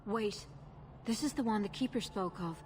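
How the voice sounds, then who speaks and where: A woman acting as a proud elf of a secluded clan in a fantasy game. She speaks solemnly at a medium pitch, with faint ambience behind. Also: normal speed, a little mellow, slight background noise, close to an everyday voice. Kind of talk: video game cutscene acting.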